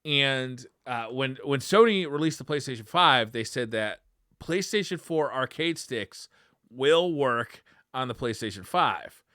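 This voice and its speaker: A man's voice: medium pitch, expressive, unhurried, sharp tone, no echo, studio quality. A man co-hosting a casual retro gaming podcast, talking in a conversational way. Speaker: podcast co-host.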